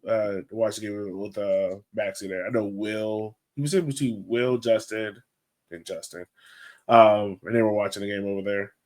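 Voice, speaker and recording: A man hosting a sports podcast, talking in an informal, chatty, conversational way. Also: lowish voice. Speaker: podcast host. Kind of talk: casual sports podcast chat.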